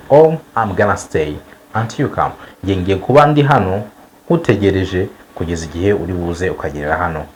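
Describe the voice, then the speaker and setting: A low-voiced man teaching a language lesson, his pitch rising and falling a lot as he stresses each word. He draws out the model sentences slowly and repeats them for learners, over a faint hiss of noise. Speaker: English teacher.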